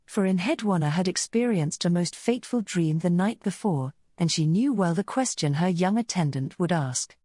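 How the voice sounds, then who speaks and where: A woman narrating a mythic visual novel in a measured, solemn, scripted style. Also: fairly low pitch, expressive, dark tone, no room sound, studio quality.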